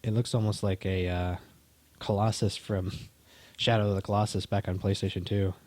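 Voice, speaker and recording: A man with a lowish, mellow voice talks casually while opening card packs. He speaks in a relaxed, halting way, often restarting his phrases. The sound is dry and close. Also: no room sound. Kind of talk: casual chat.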